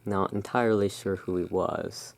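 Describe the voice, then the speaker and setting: A soft-spoken ASMR performer playing the courteous owner of a gothic curiosity emporium, speaking in a hushed, deliberate way. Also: sits low, fairly even intonation, creaky. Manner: slow, deliberate, hushed sales patter. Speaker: roleplaying shop owner, ASMR host.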